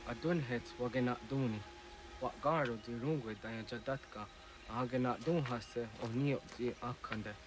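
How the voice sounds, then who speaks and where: Actor as a serious game character, mid-pitched. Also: male voice, normal speed, noisy background, natural voice. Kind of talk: dramatic video game voice acting.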